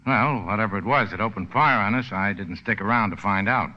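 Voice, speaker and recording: Man acting a low-voiced, wry skipper. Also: a little fast, natural voice. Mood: feigned innocence. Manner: dry, laconic sarcasm. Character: wry, tough, cynical American boat skipper. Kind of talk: 1940s radio drama acting. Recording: dull old radio recording.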